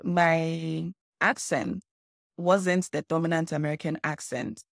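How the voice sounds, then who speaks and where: A woman from Ghana, a scholar answering interview questions in a measured, explanatory way. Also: low-pitched, vocal fry, dry recording, clean studio sound. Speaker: guest scholar.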